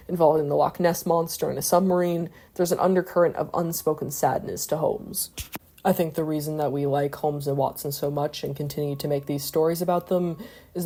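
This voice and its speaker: A woman with a low, mellow voice reads a scripted video essay. She is reflective and analytical, and now and then drops into a casual aside.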